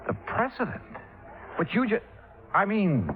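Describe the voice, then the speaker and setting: Brisk, wry investigator, middle-pitched and very animated, 1950s radio noir, tinny sound. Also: male voice. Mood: puzzled. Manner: wry, exasperated straight man. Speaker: lead detective and narrator. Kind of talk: 1950s radio detective drama acting.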